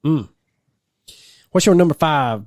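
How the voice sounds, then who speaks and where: A man's voice: a little high, expressive, takes it slowly, a little mellow, no echo, studio quality. A man co-hosting a podcast in casual, playful, teasing conversation. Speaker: podcast co-host. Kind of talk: casual banter.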